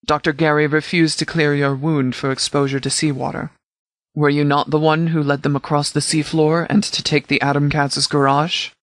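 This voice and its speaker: Man playing a game sheriff's commander, slightly high male voice, formal, precise, measured, no-nonsense, very dry recording. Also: little pitch movement, a bit bright, no echo, close to an everyday voice. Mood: composed, authoritative. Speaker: commanding officer character in a video game. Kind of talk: scripted game dialogue, mission briefing.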